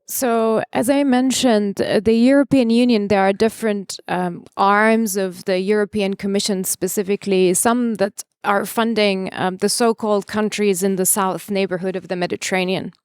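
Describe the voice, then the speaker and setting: A woman's voice: mid-range voice, steady tone, normal speed, hardly any pauses, darkish tone, clear, resonant tone, no room sound, studio quality. A woman from Palestine, speaking as a panelist and arguing her points with passion and frequent restarts. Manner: impassioned, argumentative, with frequent restarts. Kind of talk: conference panel answer. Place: conference stage.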